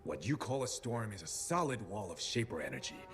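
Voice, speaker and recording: An actor playing a slippery, eccentric captive scientist in a video game, mocking and patronizing in a theatrical way. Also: male voice, lowish voice, very animated, a little fast, a bit bright, soft background music. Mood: smug, taunting. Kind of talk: video game cutscene acting.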